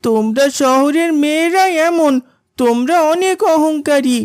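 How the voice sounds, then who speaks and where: A woman's voice: high voice, a little slow, a little mellow, dry recording, clean studio sound. A woman voicing a haughty, hostile village schoolgirl in a cartoon, snippy and accusing. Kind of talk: cartoon character dialogue.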